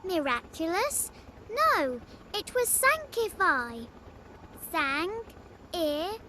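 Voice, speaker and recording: Squeaky, babyish little girl. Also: big pitch swings, pushed and bright, slight background noise, exaggerated voice, poor audio. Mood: matter-of-fact. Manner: childlike, drawn-out words. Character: tiny, cheerful, innocent little girl, babyish and playful. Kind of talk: animated video game voice acting.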